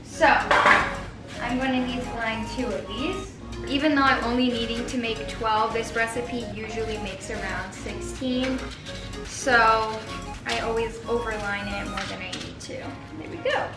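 A woman's voice: fairly high pitch, a little slow. A teenage girl chatting casually as she walks through a baking tutorial in her home kitchen, explaining each step as she works. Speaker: baking vlogger. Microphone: far camera on the counter. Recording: roomy kitchen echo, distant mic, music behind.

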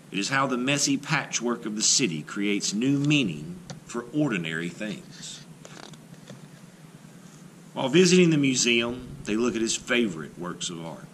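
Male storybook reader, lowish and forceful, dramatic phrasing with deliberate pauses. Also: slight background noise, rough recording. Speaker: art teacher reading a picture book aloud. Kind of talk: expressive children's picture book read-aloud.

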